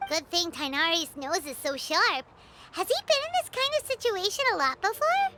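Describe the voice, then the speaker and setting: A woman's voice: very high voice, sing-song, normal speed, noisy background. A woman voicing a small, cheerful, eager fairy companion with a childlike manner in a video game. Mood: curious. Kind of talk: scripted video game voice acting.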